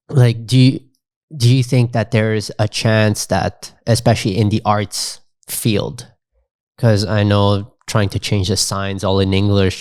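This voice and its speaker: A man's voice: lowish voice, a little mellow, dry recording, clean studio sound. A man hosting a podcast, asking long, winding questions with several restarts. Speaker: podcast host. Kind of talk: casual podcast conversation.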